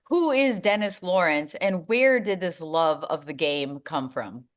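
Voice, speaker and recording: A woman's voice: deep voice, expressive, measured pace. A woman hosting a sports podcast, chatty and upbeat, with self-deprecating humor. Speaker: podcast host. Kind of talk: casual interview hosting.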